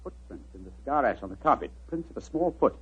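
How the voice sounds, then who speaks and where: A brisk British detective, shrewd and urbane, with a very husky voice that swings in pitch. He speaks in commanding old radio-play style on a dull recording. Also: animated. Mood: intent. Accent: British English. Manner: incisive, clipped, urbane. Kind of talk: 1940s radio drama acting.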